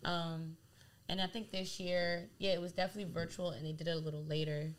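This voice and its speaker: A woman's voice: fairly low pitch, fairly quick. A young woman from Delaware, a DJ, chatting casually in an interview, rambling with lots of "like". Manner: rambling, heavy use of "like", animated. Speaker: DJ and guest.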